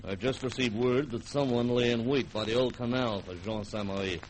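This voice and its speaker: Guy playing colonial governor, formal n deliberate, medium pitch, old radio drama, bit of hiss. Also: close to an everyday voice. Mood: grave, measured. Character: measured, doubtful colonial governor. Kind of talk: vintage radio drama acting. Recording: faintly noisy old radio recording.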